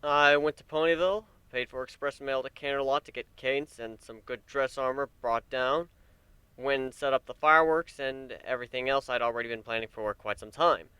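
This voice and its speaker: A man voicing a gentle, wry male pegasus pony, a devoted husband, speaking softly and soothingly with light teasing in a story reading. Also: medium pitch, steady tone, brisk, clean tone, dry recording, natural voice. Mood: wry, amused.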